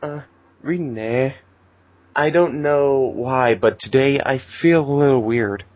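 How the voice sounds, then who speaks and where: A man's voice: medium pitch, slow pace, no room sound, lo-fi sound, close to an everyday voice. A man voicing a shy, innocent, nervous teenage boy in an amateur fan fiction reading. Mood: confused, innocent. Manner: hesitant, nervous.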